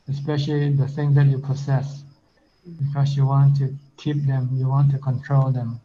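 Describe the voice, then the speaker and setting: A man who is a Buddhist monk, giving an informal teaching and answering questions on a video call, speaking plainly with simple comparisons. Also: mid-range voice. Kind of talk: informal Dhamma talk and Q&A. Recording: dull lo-fi video call audio.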